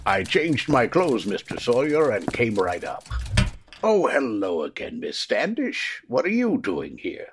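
A man acting as a kindly old Santa with a creaky, husky voice. He is polite and shrewd, with a very lively, swooping delivery, as in a comic radio play. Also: mid-range voice, measured pace, heavily acted. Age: older. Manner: polite, gently probing.

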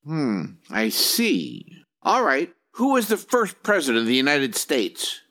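An actor playing a pompous, nervous and easily irritated company examiner in a comic radio drama. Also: male voice, fairly high pitch, very animated, a little slow, sharp tone, exaggerated voice. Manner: officious, pompous, clipped questioning.

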